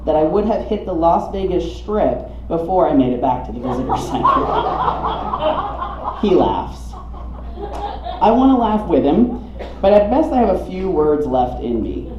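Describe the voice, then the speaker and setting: A man's voice: on the high side, sing-song, moderate pace, mellow. A man from the southeastern United States reads his own humorous memoir to a live room, with a dramatic, wry comic delivery. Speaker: storyteller reading own memoir. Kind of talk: live reading of a humorous personal narrative. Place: coffee shop reading event. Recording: muffled roomy live recording, some noise.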